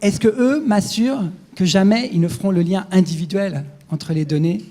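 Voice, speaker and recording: A man's voice: high-pitched, moderate pace, dark tone, slight background noise. A man asking a long-winded question from the floor at a conference, hesitant and full of fillers. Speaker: audience questioner. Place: conference amphitheater.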